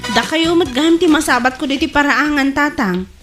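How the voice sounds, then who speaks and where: Woman acting high-voiced inquisitive Ilocano child. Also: brisk, over music, lightly acted. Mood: curious, puzzled. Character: inquisitive young child questioning father. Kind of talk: Ilocano radio comedy drama acting.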